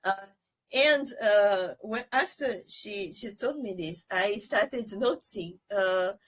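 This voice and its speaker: A woman whose first language is Portuguese, chatting as a podcast guest, pausing often on fillers and laughing between phrases. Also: medium pitch, expressive. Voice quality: soprano singer. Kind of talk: casual podcast conversation.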